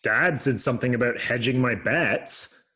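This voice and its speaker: A man's voice: mid-range voice, a little slow, pushed and bright, husky. A man reading the part of a glum teenage boy at a screenplay table read, sulky and self-deprecating. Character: glum teenage boy, a discouraged young writer.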